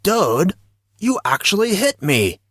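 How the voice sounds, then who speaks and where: A man plays a teenage boy in an audio drama, with a husky edge and pitch that swings freely. He talks in informal schoolboy slang, close and dry on the recording. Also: very animated, slow, a bit bright, no room sound, full character voice. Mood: teasing. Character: teenage schoolboy, emotional and bantering with close friends.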